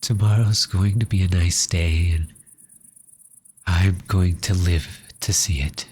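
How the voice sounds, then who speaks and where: Casting: male actor for lonely, tender orphan in ASMR roleplay; very husky, near-whispered delivery, slow and intimate, heavy with grief. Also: sits low, steady tone, a little mellow, full character voice. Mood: gentle reassurance. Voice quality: breathy, often dropping into whisper. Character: grieving, tender lonely orphan comforting a sick pet cat. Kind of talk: soft ASMR roleplay acting.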